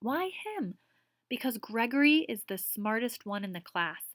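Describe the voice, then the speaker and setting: A woman's voice: a little high, expressive, moderate pace, a bit bright, no room sound, clean studio sound. A woman voicing a weary middle-aged female teacher who is distraught and pleading. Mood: distressed. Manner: pleading, emotional.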